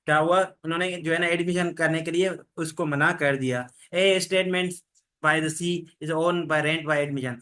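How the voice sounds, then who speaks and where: Male tutor with a fairly high voice, steady and even delivery, explaining legal points to a class. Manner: explains in Hindi mixed with English legal terms, reads rules slowly. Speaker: teacher. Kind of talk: online law lecture.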